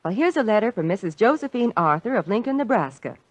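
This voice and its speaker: A woman's voice: mid-range voice, sing-song, fast talker, dry recording. An American woman co-presenting a scripted 1940s radio advertisement in a chatty, polished way.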